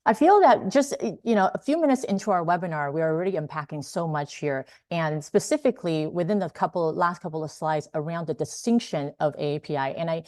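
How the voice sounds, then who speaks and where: A woman with a low voice moderates a webinar panel, eagerly thanking speakers and leading into questions. She talks with many fillers and restarts. The sound is dry and close. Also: a little mellow, dry recording.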